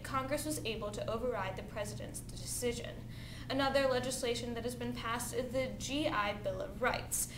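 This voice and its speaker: A young woman with a fairly high voice reads the news from a script as anchor. The sound is roomy, with clear noise in the background. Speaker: news anchor in amateur mock newscast. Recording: echoey room with rumbling noise.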